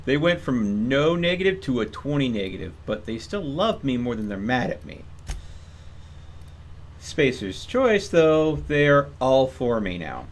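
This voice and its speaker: A man's voice: medium pitch, sing-song, unhurried. A man giving casual live game commentary in a musing, explanatory way, drawing out some phrases as he thinks. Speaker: game streamer.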